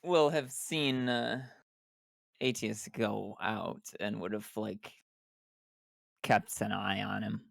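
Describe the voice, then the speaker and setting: Male tabletop player, fry-laden voice, dry recording, informal conversational delivery. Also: fairly low pitch, steady tone, slow, pauses often, a bit bright, no room sound. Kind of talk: casual table chat.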